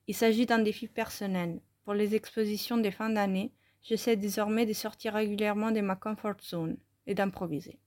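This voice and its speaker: Lady reading her own interview in french, calm and measured, mid pitch. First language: Italian. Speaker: photography student presenting her work. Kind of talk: reading a scripted self-interview.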